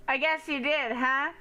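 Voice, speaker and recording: Bright-voiced, unhurried female game streamer. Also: high-pitched. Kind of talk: casual chat with stream viewers.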